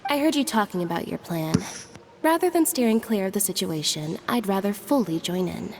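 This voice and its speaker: A woman as a blunt, deadpan young woman. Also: medium pitch, a little fast, background noise, lightly acted. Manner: deadpan, flat delivery. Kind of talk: game voice acting.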